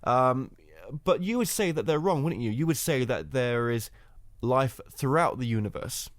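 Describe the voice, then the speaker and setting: A man's voice: medium pitch, animated, normal speed, dry recording, clean studio sound. A male host asking rambling interview questions full of "you know" fillers.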